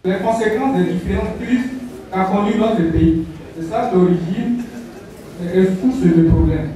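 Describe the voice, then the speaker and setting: Man, high mellow voice, formal public presentation, distant microphone, very echoey room. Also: a little slow. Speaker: speaker at community dialogue.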